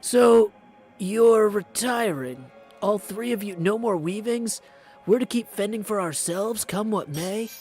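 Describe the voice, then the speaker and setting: A man's voice: sits high, lively intonation, slow, darkish tone, slightly rough, faint music behind, pitched above the performer's own voice, heavily acted. A man voicing an earnest, perplexed young goddess in a video game, speaking formally and questioningly. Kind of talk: video game character practice.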